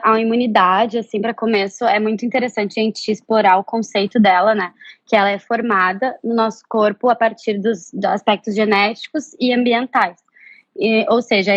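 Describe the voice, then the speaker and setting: The young Brazilian nutrition guest on a live stream, explaining casually over a dry, slightly dull video-call connection. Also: female voice, medium pitch, dry recording. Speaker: nutrition student and practitioner, interview guest.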